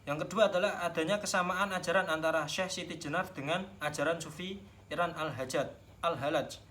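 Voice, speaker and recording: Young man, Javanese accent, student teacher, slightly high voice, classroom lecturing, faint background noise. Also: steady tone, a little fast, sharp tone.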